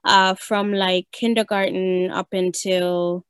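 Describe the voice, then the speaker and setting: A woman's voice: on the low side, little pitch movement, slow, clean tone, dry recording. Encouraging woman podcast host, dry sound. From Uganda. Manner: encouraging, frequent affirmations. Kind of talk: conversational podcast chat.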